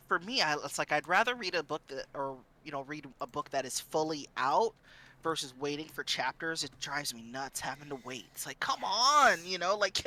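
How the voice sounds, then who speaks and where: A man chatting casually as a streamer on a panel, acting out reactions in an animated way. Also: mid-range voice.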